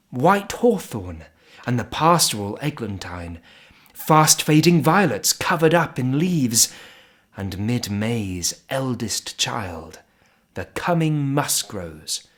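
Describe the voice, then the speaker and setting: A man giving a solemn, expressive poetry recitation in measured phrases with pauses between them. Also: mid-range voice. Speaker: poetry reader.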